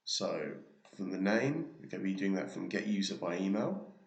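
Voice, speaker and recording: A man presenting a software tutorial, narrating a screen demo step by step in an informal, explanatory way. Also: sits low, lively intonation, moderate pace, vocal fry. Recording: roomy, echoey sound.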